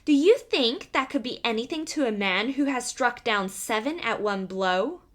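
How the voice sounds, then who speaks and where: A woman's voice: a little high, expressive, normal speed, fairly bright tone. A woman voicing a cocky, cunning and cheerful little man, a boastful tailor in a storybook reading. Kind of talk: storybook character voice.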